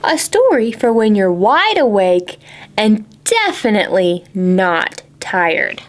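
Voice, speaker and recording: A woman hosting a children's storytime, reading aloud in an animated, exaggerated way and putting questions to young listeners. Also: medium pitch, slow, slight background noise. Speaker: children's librarian, storytime host. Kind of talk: children's picture-book read-aloud and singalong.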